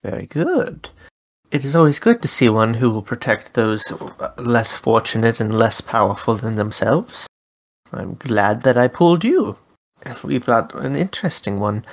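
A curious dream host, played by a woman with a low voice. She questions her guests in a friendly, measured way. Her pitch moves around a lot. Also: animated, exaggerated voice. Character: Rue, a dream-summoning interviewer. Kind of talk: tabletop character interview.

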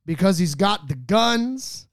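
A man bantering casually as a podcast panelist, building up his picks with dramatic pauses. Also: high-pitched, expressive, no echo, clean studio sound. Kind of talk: casual comic banter, sports-draft parody.

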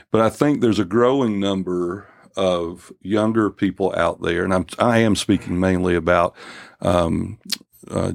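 A man in his fifties hosts a church podcast in an earnest, unhurried way. His voice sits very low with a gravelly edge. The sound is close and dry. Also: dry recording. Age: 50s. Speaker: podcast host. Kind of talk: casual church podcast talk.

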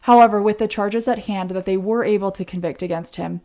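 Mellow American woman telling true crime. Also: medium pitch, brisk. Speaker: podcast co-host. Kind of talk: conversational true-crime storytelling.

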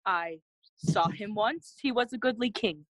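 A woman's voice: mid-range voice, a little slow, no echo, close to an everyday voice. A woman playing a loyal, earnest young friend of a prince in a bilingual Shakespearean audio play, speaking formally. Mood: hesitant, earnest. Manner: earnest, formal, clearly declaimed.

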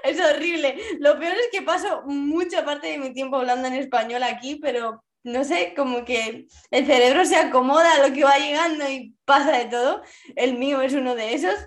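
A woman's voice: high-pitched, normal speed. Chatty Spanish podcast host woman. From Spain. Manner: laughs often, mixes in English words. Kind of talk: casual podcast interview.

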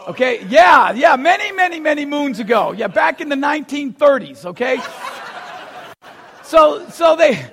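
A man with a Boston accent preaching a sermon, telling stories with gasps and acted-out lines and shouting for emphasis. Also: very high-pitched, normal speed, creaky, slight background noise. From Boston, USA. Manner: animated storyteller, acts out gasps and quotes, shouts for emphasis. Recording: long hall reverb.

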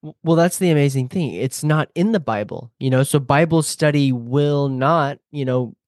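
A man's voice: on the high side, normal speed, a little mellow, no room sound, clean studio sound. A man co-hosting a religious podcast, explaining things in a casual, conversational way. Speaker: podcast co-host.

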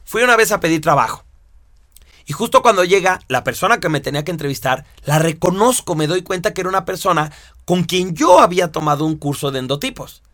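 A man teaching a course, explaining step by step with lively rhetorical questions. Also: high-pitched, fairly quick, no echo, studio quality. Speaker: instructor. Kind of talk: lecture to students.

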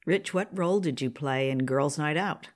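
A woman's voice: low-pitched, normal speed. A woman hosting a podcast, introducing and interviewing a guest in a conversational way. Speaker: podcast host, writer and producer.

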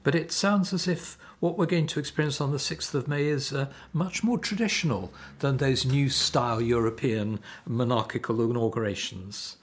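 A middle-aged man from Sweden co-hosting a theology podcast, talking in a measured way with frequent hesitations. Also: medium pitch. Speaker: podcast co-host, vicar. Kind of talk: conversational theological discussion.

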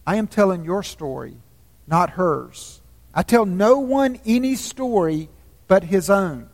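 A man voicing a great, wise male lion who speaks slowly in a calm, commanding hush. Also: sits high, exaggerated voice. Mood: gentle. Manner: slow, solemn, hushed. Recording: long hall reverb.

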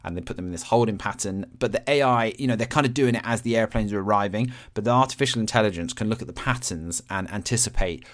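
A man's voice: lowish voice, fast talker, few pauses, a bit bright. A man hosting a podcast, talking casually and explaining things in simple, everyday terms. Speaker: podcast host.

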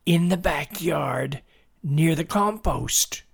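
A man voicing an excited, frightened young boy with an urgent, stammering delivery in a story. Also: on the high side, slow pace, creaky, breathy edge, pitched above the performer's own voice, full character voice. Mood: hushed, shaken. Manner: urgent, stammering, gasping exclamations.

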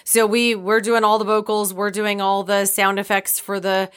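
A middle-aged woman speaks as a guest in a casual interview. Her voice sits at an average pitch, and she talks like a theater director explaining things in conversation. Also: little pitch movement, moderate pace, clear, resonant tone.

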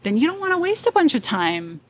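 A woman talking as a wedding photographer guest in a casual interview, animated and confident, laughing often. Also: high-pitched, fairly quick, a little hiss or hum.

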